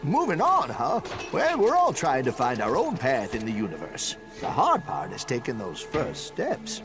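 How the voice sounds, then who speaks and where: A man's voice: medium pitch, wildly swooping pitch, normal speed, over music. An actor voicing a chatty, kindly old male plumber with a folksy drawl in a game cutscene. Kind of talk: video game cutscene acting.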